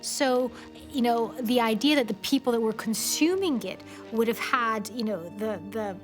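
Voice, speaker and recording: A woman giving media commentary in an interview, with halting, conversational phrasing. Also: on the high side, soft background music.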